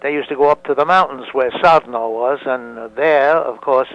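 A man in his nineties whose first language is Italian, a museum founder answering interview questions slowly, with many fillers and restarts. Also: mid-range voice. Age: 90s. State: hard of hearing. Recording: dull lo-fi recording.

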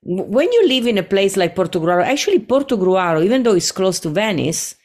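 A woman from Italy with an Italian accent, a singer-songwriter talking as a guest in a casual, animated interview. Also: mid-range voice, a little fast. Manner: animated, rambling, laughs easily.

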